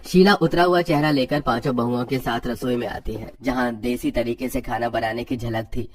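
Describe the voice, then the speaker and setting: A man's voice: medium pitch, steady tone, normal speed, recorded from a distance. A man reading scripted story narration as the narrator.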